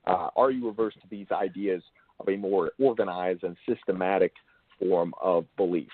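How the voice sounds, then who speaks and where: A man hosting an interview, asking long, wordy, bookish questions in a halting way. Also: deep voice, fairly even intonation, no room sound. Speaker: interviewer and show host. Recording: thin phone-like call audio.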